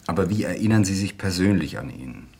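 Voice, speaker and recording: A man's voice: deep voice, animated, brisk, darkish tone, heavily acted. An actor in a radio drama playing a persistent male interviewer, formal, polite and probing. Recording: clear background noise.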